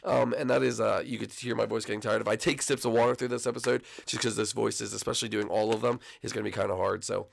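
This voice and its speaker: A young man hosting a gaming channel, talking casually with a hoarse, froggy voice and lots of rambling fillers. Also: on the low side, steady tone, quick pace, few pauses. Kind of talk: casual video commentary.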